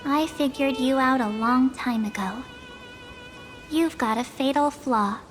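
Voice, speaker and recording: High pitched spirited young game heroine, idealistic n defiant, takes her time, music behind. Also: measured pace. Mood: firm, defiant. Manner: earnest, assertive, casual phrasing. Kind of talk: video game voice acting.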